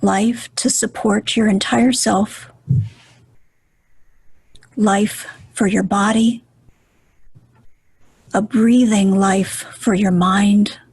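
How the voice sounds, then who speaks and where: Slow, breathy woman guiding meditation. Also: mid-range voice, fairly even intonation, no echo. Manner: gentle, soothing, deliberate with pauses. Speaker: meditation guide.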